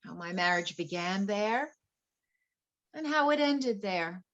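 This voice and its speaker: A woman's voice: mid-range voice, lively intonation, slow, fairly bright tone, lo-fi sound. A woman playing a betrayed wife turned coolly vengeful poisoner in a dramatic stage play reading. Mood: wistful. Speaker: actor in a play workshop.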